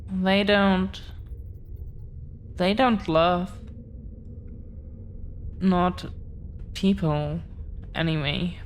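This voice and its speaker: A woman playing an affectionate, protective dragon-blooded girlfriend in an intimate audio roleplay, speaking earnestly with halting, deliberate pauses. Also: on the low side, slight background noise. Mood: earnest, solemn.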